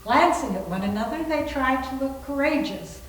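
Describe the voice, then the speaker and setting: A woman's voice: high voice, lively intonation, moderate pace. A woman narrating a scripted suspense story as part of a readers theater. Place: reverberant room at a library reading. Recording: very echoey, distant, noisy.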